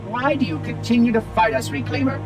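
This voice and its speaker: A man's voice: very high-pitched, fairly quick. A man playing a fussy, pompous ancient robotic caretaker in a video game cutscene, with formal, theatrical diction. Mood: affronted. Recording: loud music behind the voice. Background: loud music.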